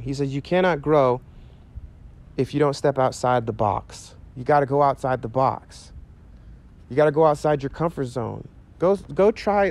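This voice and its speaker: A man in his thirties preaching outdoors to a camera, earnest and animated, talking casually with fillers. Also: medium pitch, slight background noise. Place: outdoors by a chain-link fence on a sunny day. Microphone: handheld mic, medium camera shot.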